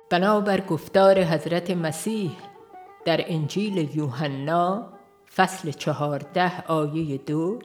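A woman with a fairly low voice reads an audiobook in a calm, measured way, pausing gently between phrases. Soft music plays faintly beneath her narration. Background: soft background music.

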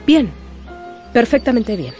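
An actress playing a young, proud and earnest gentlewoman in a radio drama. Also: on the high side, very animated, slow, soft background music. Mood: flustered, polite.

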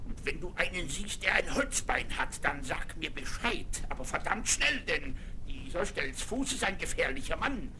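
An actor playing a hard-drinking old sea captain in a radio play, with a hoarse, growling voice and blustering commands. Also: male voice, fairly high pitch, expressive, normal speed, hardly any pauses. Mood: gruff. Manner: blustering, commanding, bursts of shouting. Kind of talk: 1970s radio play acting. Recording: hissy vinyl record.

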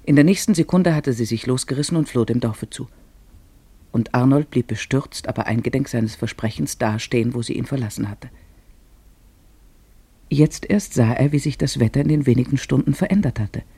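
A man narrating a literary radio play in a measured storytelling manner. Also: medium pitch, dark tone, breathy edge, no room sound.

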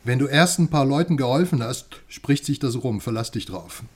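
Husky mid-pitched male buddy, cheeky and talkative, conspiratorial, for a radio crime drama. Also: moderate pace. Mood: teasing, conspiratorial. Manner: animated, conspiratorial, teasing. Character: chatty, cheeky male friend, curious outsider in East Germany.

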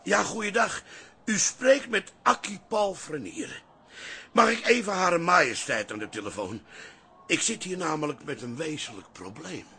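Cantankerous, high-pitched old father. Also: lively intonation, unhurried. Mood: stubborn. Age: older. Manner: indignant, stubborn, declaiming. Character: cantankerous, indignant old father and war veteran. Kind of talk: Dutch radio comedy-drama acting.

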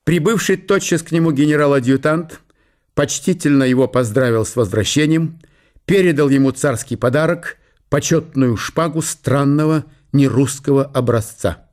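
A man reading a literary historical story as a radio narrator, in measured short phrases. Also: a little high, a little mellow. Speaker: narrator of a radio audio play.

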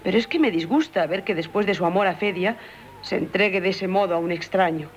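A woman's voice: lowish voice, fairly quick, close to an everyday voice. Actress as an earnest, emotional young woman. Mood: indignant. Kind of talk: 1970s Spanish radio drama acting.

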